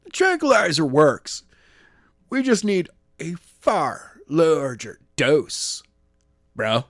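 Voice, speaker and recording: A man doing a high cocky bro-talking villain in a tie. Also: huge pitch swings, slow, dry recording, studio quality, exaggerated voice. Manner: laid-back slangy drawl, pitched up. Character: brash surfer-talking man in a tie, always saying bro. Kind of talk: audiobook reading of a novel.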